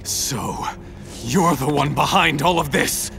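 A man's voice: fairly high pitch, takes it slowly, creaky, music in the background. A man playing a tormented, brooding young hero in a gothic video game, earnest and intense, with archaic phrasing. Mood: grim, accusing. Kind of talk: dramatic video game voice acting.

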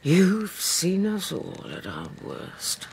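Casting: ageing queen mother, proud and sharp-tongued, highly varied pitch, historical audio drama. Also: wildly swooping pitch, slow, vocal fry, a little hiss or hum, full character voice. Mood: weary, wry. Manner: imperious, dry, wry. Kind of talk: British-made historical radio drama acting.

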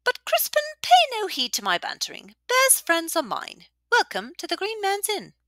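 Woman doing a shrill, teasing old innkeeper. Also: wildly swooping pitch, normal speed, no room sound, studio quality, full character voice. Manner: loud, teasing, laughing. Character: toothless, boisterous middle-aged woman innkeeper.

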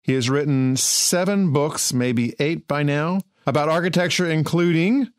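A man's voice: fairly high pitch, unhurried, no echo, studio quality. A man hosting an architecture radio show, chatty and enthusiastic as he asks questions. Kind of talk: casual interview.

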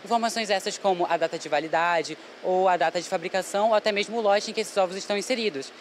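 Teen male student, quick delivery, technical rehearsed talk, faint workshop noise. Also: high-pitched. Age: teens. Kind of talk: presenting a project. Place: school workshop. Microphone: handheld reporter mic.

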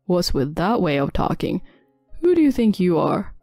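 A woman's voice: lowish voice, very animated, moderate pace, creaky, warm tone, dry recording, studio quality, exaggerated voice. A woman voicing a selfish, arrogant, whiny husband with an irritated, demanding manner in a dramatized domestic story. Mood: indignant. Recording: background music bed.

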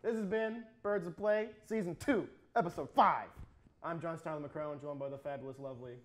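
Fast-talking, higher-voiced young man. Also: a bit bright. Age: 20s. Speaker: video game show host. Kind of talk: casual panel discussion. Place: small TV studio.

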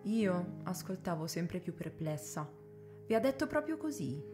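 A woman's voice: on the low side, very animated, a little slow. A woman acting a devoted female servant who tells her story earnestly, as radio drama. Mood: distressed. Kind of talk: dramatic first-person narration and dialogue. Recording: guitar music behind the voice.

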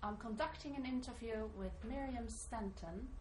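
A woman interviewer asking questions in a measured, formal way and spelling out names. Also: a little high, darkish tone, slight background noise, rough recording.

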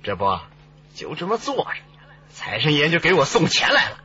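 Male, sly boastful hustler, radio drama, rather high voice, very animated, salesman patter. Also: normal speed, a little hiss or hum, full character voice. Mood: gloating. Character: wily, boastful middleman peddling smuggled Japanese goods. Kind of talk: Chinese radio drama acting. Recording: dull radio drama audio with background music.

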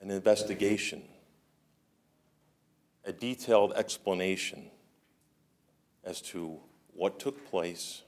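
Very slow, low-voiced man speaking formally. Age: middle-aged. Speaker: public speaker at a village board meeting. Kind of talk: formal public comment. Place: indoor meeting room with seated audience.

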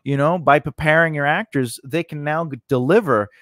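A man in his forties giving an informal instructional talk, conversational and halting, often rephrasing himself as he goes. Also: fairly high pitch, a bit bright, dry recording, clean studio sound. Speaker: host of a scriptwriting lesson. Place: small indoor recording space. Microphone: close studio microphone on a stand.

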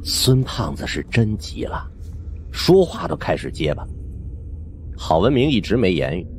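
A man's voice: fairly low pitch, sing-song, unhurried, a little mellow, a little hiss or hum. A man narrating a supernatural suspense audiobook in a theatrical, suspenseful way. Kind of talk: dramatic scripted storytelling of a supernatural suspense novel.

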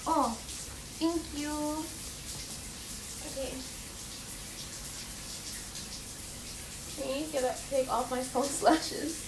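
High-pitched girlish girlfriend in an echoey bathroom, acted by a woman. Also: fairly even intonation. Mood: soft, casual. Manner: giggly, coaxing, unhurried murmuring with pauses. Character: playful, affectionate, teasing young girlfriend. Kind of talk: intimate girlfriend roleplay ASMR. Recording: echoey, distant, noisy with running bathwater.

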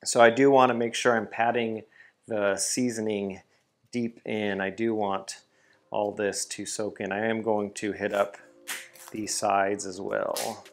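A man in his thirties hosting a home grilling vlog, upbeat and hyped, who breaks into loud whoops. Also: fairly low pitch, little pitch movement, unhurried. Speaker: grilling channel host. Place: home kitchen and backyard.

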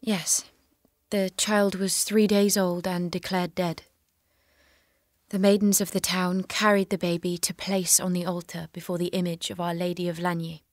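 A woman's voice: lowish voice, little pitch movement, moderate pace, lightly acted. A woman acting in an audio drama as a devout, determined young warrior maiden, earnest and resolute. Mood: solemn, reverent.

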